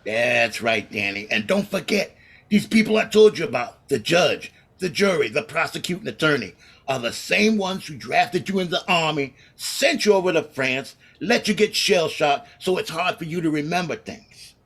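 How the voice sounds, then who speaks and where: An actor playing a scheming, vengeful condemned gangster with tough slangy talk, in the manner of a 1930s radio crime drama. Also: male voice, fairly high pitch, expressive, normal speed, a bit bright, slightly rough, heavily acted. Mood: bitter, commanding.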